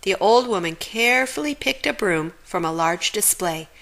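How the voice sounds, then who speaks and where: A woman reading a children's picture book aloud in an expressive storytelling style. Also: medium pitch, normal speed, vocal fry. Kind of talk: expressive read-aloud narration.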